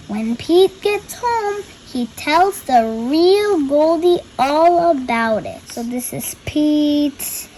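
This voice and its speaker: A woman's voice: high-pitched, a little mellow, a little hiss or hum, poor audio. A young girl reading a picture book aloud and explaining the pictures. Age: child.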